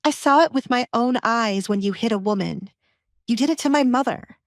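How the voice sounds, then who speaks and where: Woman acting a high-pitched, defiant young noblewoman. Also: moderate pace, no room sound, clean studio sound, natural voice. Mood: bitter accusation. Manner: urgent, pleading, accusing. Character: desperate, defiant young noblewoman fleeing her father.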